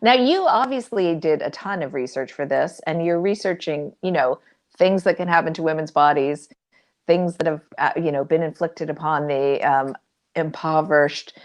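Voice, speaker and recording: Low-voiced woman interviewer. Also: moderate pace. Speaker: interviewer, event moderator. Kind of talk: conversational interview questioning.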